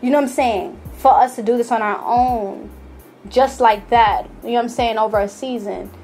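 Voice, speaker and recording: A woman from New York speaks with fervent, preachy emphasis. She often circles back and repeats her points. Her voice sits somewhat high and sounds distant from the mic, with a faint hiss behind it. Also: steady tone, moderate pace, a little mellow, soft background music. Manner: emphatic, preachy, repeats herself, many fillers. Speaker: guest musician. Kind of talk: passionate talk-show conversation.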